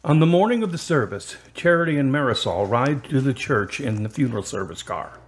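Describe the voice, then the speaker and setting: A man's voice: medium pitch, sing-song, a little fast. A man reading scripted narration for a radio drama as its narrator. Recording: music under the narration. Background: music.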